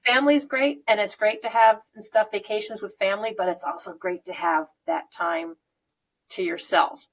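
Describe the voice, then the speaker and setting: A woman's voice: mid-range voice, fairly even intonation, normal speed. American woman in her 50s, rambly chat, laughs a lot, mid voice. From United States. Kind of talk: casual chat.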